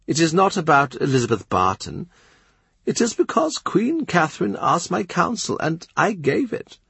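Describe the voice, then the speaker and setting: A man doing a frail old bishop, high and mild. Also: big pitch swings, moderate pace, gravelly, a bit bright, husky, no echo, heavily acted. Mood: mild, earnest. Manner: mild, pleading, sad. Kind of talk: audiobook character reading.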